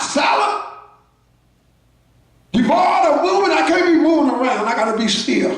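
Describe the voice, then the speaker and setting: High-voiced shouting preacher in an echoey church. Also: male voice, far from the mic. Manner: preaching in shouted bursts with call-outs to the congregation. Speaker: pastor. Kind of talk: sermon. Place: church sanctuary.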